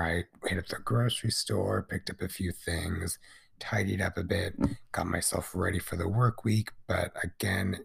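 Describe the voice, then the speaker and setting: A man with a very deep, congested voice hosts his podcast in a loose, rambling way, full of fillers. His tone is heavily gravelly and rough, and he talks slowly. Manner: relaxed, rambling, lots of fillers. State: congested and scratchy from allergies. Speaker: podcast host. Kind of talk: casual solo podcast chat.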